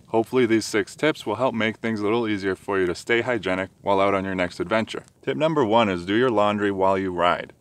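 A man in his thirties giving friendly, direct how-to tips at a forest campsite, filmed on a camera held at arm's length. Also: on the low side. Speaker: motorcycle camping vlogger. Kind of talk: scripted tips vlog.

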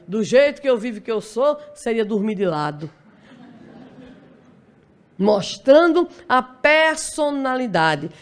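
A woman in her sixties from Brazil giving a spiritist lecture at a lectern, telling a life story with dramatic pauses. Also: a little high. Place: dim lecture hall stage at a lectern. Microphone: stand mic at lectern.

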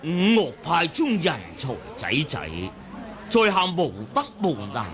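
A man's voice: high-pitched, big pitch swings, unhurried. An actor with a Hong Kong Cantonese accent playing a scheming middle-aged male sect leader in an old radio drama, falsely modest and needling. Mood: false modesty. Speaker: sect leader seeking to head the alliance. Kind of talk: 1980s radio drama acting. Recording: old radio broadcast with music and crowd behind.

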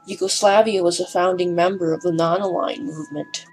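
A woman's voice: fairly low pitch, fairly even intonation, fairly quick, a little mellow, a little hiss or hum, rough recording. A woman narrating a scripted amateur documentary, her delivery a bit hesitant and breathless at times.

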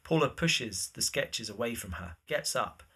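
A man's voice: medium pitch, lively intonation, a little slow, a bit bright, slightly rough. A man narrating scripted stage directions for a drama.

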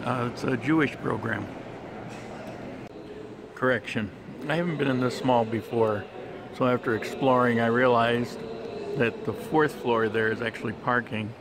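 A man talking through a casual walking travel vlog in a shopping mall, relaxed and chatty with plenty of fillers. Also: mid-range voice, unhurried, pauses often. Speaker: travel vlogger. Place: outdoor mall terrace and shopping center. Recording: handheld camera with street and mall noise.

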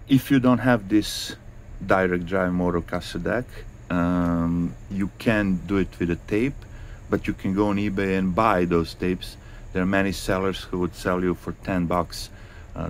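A man giving a casual hands-on tutorial in a halting, informal way, repeating phrases as he goes. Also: low voice, fairly even intonation. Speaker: hi-fi video host. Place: indoors in a room with audio gear. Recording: clearly noisy handheld home recording.

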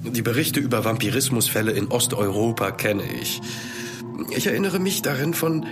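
An actor playing a polite, sceptical, educated gentleman traveller, speaking articulately in a horror radio drama. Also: mid-range voice, animated, moderate pace, natural voice. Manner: courteous, urbane, articulate. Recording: music behind the voice.

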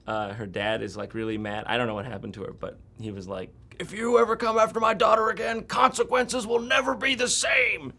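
A man in his thirties hosting a casual behind-the-scenes video in an office studio, jokey and animated. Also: sits high, a little fast, few pauses. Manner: animated, jokey, bursts into excited exclamations. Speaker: YouTube host.

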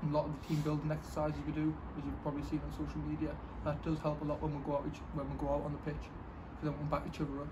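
Young footballer, flat quick voice. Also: male voice, fairly high pitch. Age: young adult. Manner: modest, matter-of-fact answers. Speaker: football player. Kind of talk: post-match interview. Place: football stadium stand, outdoors. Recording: outdoor camera audio with noise.

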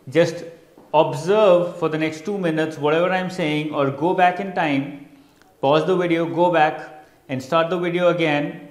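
A young man with an Indian accent giving an instructional talk as a coach, stressing keywords emphatically and pausing deliberately. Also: a little high. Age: young adult. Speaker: interview coach. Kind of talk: instructional lecture to camera. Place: indoor studio in front of a digital whiteboard. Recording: roomy echo.

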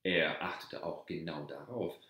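A man reads a fairy tale in a deep, low voice, calm and measured. He takes his time, and the room around him rings with echo. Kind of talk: slow storybook narration.

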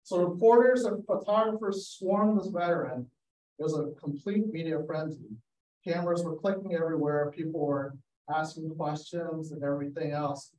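A man's voice: on the high side, normal speed, a little mellow. A man giving a storytelling slideshow presentation over a video call, relating anecdotes and quoting people as he goes. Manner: anecdotal, acts out quotes. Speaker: guest speaker, photographer. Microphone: video call, away from mic. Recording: roomy, distant-mic video call sound.

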